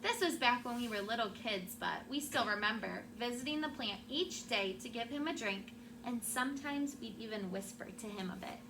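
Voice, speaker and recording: Higher-voiced woman teacher reading aloud, noisy recording. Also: normal speed. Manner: expressive storytelling with asides and questions to children. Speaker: elementary school teacher. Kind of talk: read-aloud of a picture book to a class. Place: classroom with children. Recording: clearly noisy classroom recording.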